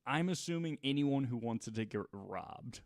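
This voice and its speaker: A man's voice: mid-range voice, animated, a little slow, dry recording, studio quality. A man co-hosting a podcast, telling a story in a casual, jokey, conversational way with some laughing as he talks. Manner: jokey, laughs while talking. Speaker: podcast co-host telling a true crime story.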